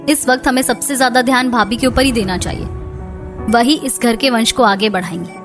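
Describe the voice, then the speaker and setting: High-voiced household woman for a domestic moral cartoon played by an actress, soft music bed. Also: fairly quick, close to an everyday voice. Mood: reproachful. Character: women of a quarrelling household: daughter-in-law, mother-in-law, sister-in-law. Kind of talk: Hindi moral-story cartoon dubbing.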